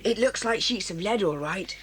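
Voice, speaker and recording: Woman voicing a plucky schoolboy leader, husky voice, eager, giving orders. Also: mid-range voice, animated, moderate pace, low-quality recording. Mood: determined. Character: clever bold boy leader of a kids' club.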